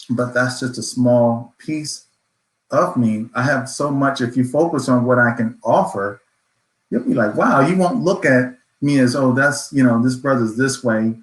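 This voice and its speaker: A man speaking as a panelist in a reflective discussion, earnest, affirming and motivational. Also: medium pitch. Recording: roomy echo.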